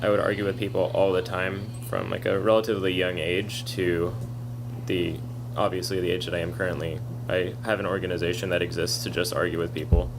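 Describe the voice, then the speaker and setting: Monotone deep-voiced man. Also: brisk, background noise. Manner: argumentative, talks at length. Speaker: podcast co-host, activist. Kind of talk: casual podcast chat. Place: kava bar.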